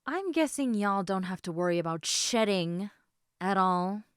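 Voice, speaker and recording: A woman voicing an affectionate, teasing half-snake lamia in a casual, intimate audio roleplay, chatting informally with halting, trailing thoughts. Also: medium pitch, expressive, clear, resonant tone, dry recording, clean studio sound, close to an everyday voice. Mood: mildly exasperated.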